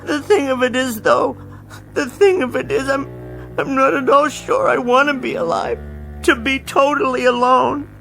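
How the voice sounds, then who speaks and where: An actor playing a meek, bookish bank clerk who talks to himself in an old-time radio drama style. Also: male voice, very high voice, lively intonation, vocal fry, pushed and bright, heavily acted, low-quality recording. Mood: desperate, despairing. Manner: talks to himself, halting repetitions when shaken. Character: meek bookish male bank clerk, dazed lone survivor. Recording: radio drama with music underneath.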